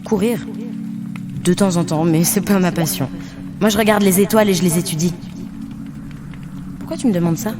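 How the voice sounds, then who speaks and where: A woman's voice: lowish voice, normal speed, long pauses, over music, close to an everyday voice. A woman playing a friendly, curious stargazer from another world in a fiction podcast, easygoing, naively questioning and reassuring. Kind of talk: fiction podcast acting, informal dialogue.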